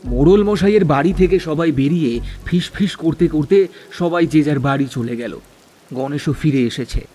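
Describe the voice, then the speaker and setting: Male narrator for a cartoon ghost tale, dramatic storytelling, soft music bed with rainfall. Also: a little high, normal speed.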